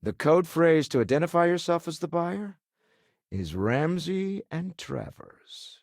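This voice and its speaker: An actor playing a wealthy, urbane older businessman explorer in a video game, speaking in a refined, measured way with dry wit. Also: on the high side, expressive, gravelly, no echo. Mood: composed. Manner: refined, measured, dryly witty phrasing. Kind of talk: video game character dialogue.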